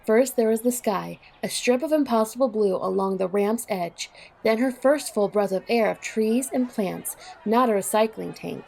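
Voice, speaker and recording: A woman's voice: on the high side, moderate pace, gravelly, darkish tone, slight background noise, rough recording. A woman reading scripted third-person narration for an audio drama.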